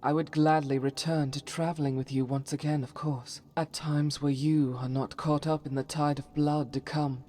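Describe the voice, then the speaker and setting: A woman's voice: low-pitched, little pitch movement, moderate pace, few pauses, dark tone, close to an everyday voice. A woman playing a devout, solemn holy warrior in a fantasy game, speaking in formal, archaic and earnest phrases. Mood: solemn, regretful. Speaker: voice actor for a game companion. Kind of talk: dramatic scripted fantasy game dialogue.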